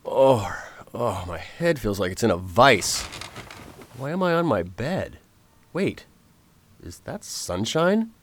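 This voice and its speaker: An actor playing a calm, resolute undercover agent in a radio drama, speaking with formal period diction. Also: male voice, mid-range voice, slow. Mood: groggy. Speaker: narrator and lead. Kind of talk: radio drama narration and acting.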